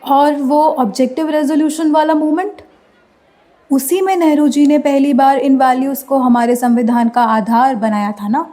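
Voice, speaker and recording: Actress doing a curious college girl in a radio play, high voice, polite and full of questions. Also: fairly even intonation, normal speed, mellow, close to an everyday voice. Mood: questioning. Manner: inquisitive, polite. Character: curious, respectful young female university student questioning her teacher.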